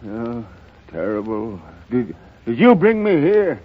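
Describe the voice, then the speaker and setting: Casting: broken middle-aged father who drinks, stammering and pleading, very animated intonation, 1930s radio melodrama, lo-fi broadcast. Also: medium pitch, slight background noise. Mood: groggy, ashamed. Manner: halting, hesitant, stammering delivery. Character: broken alcoholic father, weary, remorseful, pleading. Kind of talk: 1930s American radio acting.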